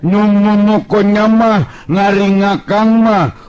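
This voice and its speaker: A man's voice: high voice, fairly even intonation, slow pace, a little mellow, clean tone, lo-fi sound, pitched above the performer's own voice. A man voicing a good-natured, bashful, joking male sidekick in a storytelling, with nasal, garbled speech and a chuckling drawl. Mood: bashful, pleased.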